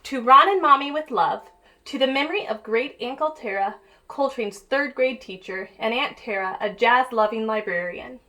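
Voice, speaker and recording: A woman's voice: fairly high pitch, moderate pace, bright, forceful tone. A woman teacher reading a picture book aloud to young pupils, addressing them directly and encouragingly with questions and asides, on a home-made recording.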